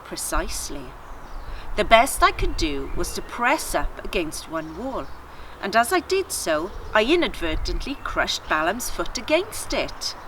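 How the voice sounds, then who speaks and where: Woman voicing a sardonic, animated talking donkey. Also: medium pitch, a little slow, slight background noise, low-quality recording. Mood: wry, aggrieved. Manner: wry, chatty, expressive storytelling. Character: sardonic, aggrieved talking female donkey telling her tale. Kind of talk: children's story read aloud.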